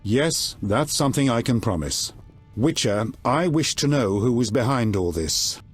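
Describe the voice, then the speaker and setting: An actor playing a gruff, foul-mouthed, domineering middle-aged king in a video game, with a blunt, earthy authority. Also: medium pitch, expressive, unhurried, faint music behind. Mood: wry authority.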